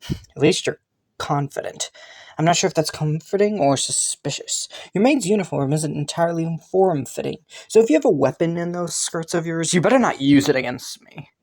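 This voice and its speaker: A man's voice: a little high, lively intonation, normal speed, darkish tone. A man playing a haughty, teasing young crown prince in a romantic fantasy audio roleplay, suspicious yet intrigued, with a smug manner. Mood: teasing, guarded. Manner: teasing, smug, chuckling and sighing between phrases.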